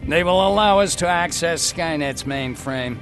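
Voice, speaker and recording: An actor playing an eccentric, talkative scientist and hacker in a video game cutscene, eager, blunt and given to explaining. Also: male voice, on the high side, measured pace, fairly bright tone, soft background music. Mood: dry, instructive.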